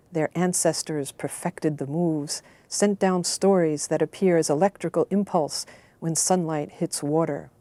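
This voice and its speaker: A woman speaking reflectively and in a measured way, as in a personal reading or reminiscence. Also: deep voice, warm tone, no room sound. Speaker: poetry reader and research analyst. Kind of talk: personal reminiscence and poem recitation.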